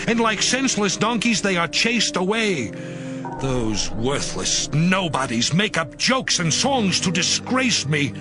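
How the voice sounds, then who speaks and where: Guy acting as a proud righteous bible man, solemn n dramatic, slow, kinda higher voice, music behind. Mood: bitter, anguished. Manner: solemn, dramatic, expressive delivery. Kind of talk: dramatized scripture reading. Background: background music.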